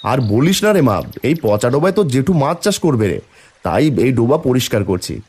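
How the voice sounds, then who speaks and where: A man's voice: mid-range voice, big pitch swings, a little fast, darkish tone, husky. A man playing an earnest, fretful, hardworking village father in a cartoon family drama. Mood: hopeful, determined.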